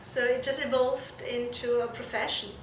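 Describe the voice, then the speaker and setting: A woman from Germany, answering interview questions earnestly and haltingly, correcting herself as she goes. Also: a little high, steady tone. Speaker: fashion and shoe designer being interviewed. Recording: echoey room, distant mic, noisy background.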